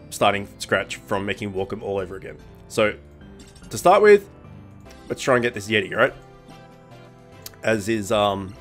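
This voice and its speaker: A young man with a blocked-up nose from a cold, hosting gaming commentary in a casual, rambling way with lots of ums and self-corrections. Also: fairly low pitch. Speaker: gaming channel host. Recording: faint music bed underneath.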